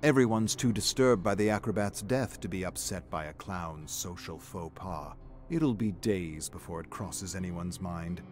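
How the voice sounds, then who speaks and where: A man giving measured, theatrical narration of a dark, dramatic scripted story. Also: deep voice, faint music behind.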